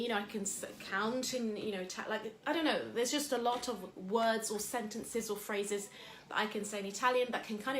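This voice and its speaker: A woman's voice: mid-range voice, a bit bright, reverberant, slight background noise. A woman from England hosting a casual motivational talk. She talks in a chatty, rambling way with lots of fillers. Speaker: host.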